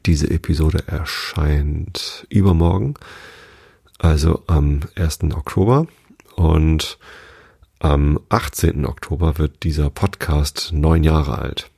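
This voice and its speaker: Hoarse, very deep gravelly man. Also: slow pace, no echo, studio quality. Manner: rambling, relaxed, many fillers and restarts. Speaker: podcast host. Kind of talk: casual sleep podcast chat.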